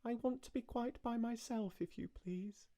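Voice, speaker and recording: A quaint little girl from a storybook, played by a man, speaks in a high, small voice. She is soft, polite and earnest, and the sound is close and dry. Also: normal speed, no room sound. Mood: quiet, polite.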